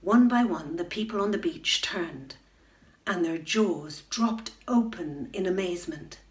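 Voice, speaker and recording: A middle-aged woman reading a children's bedtime story aloud at home, expressive, into a webcam. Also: fairly low pitch, unhurried. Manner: expressive, rising to loud excitement in dramatic moments. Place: room at home.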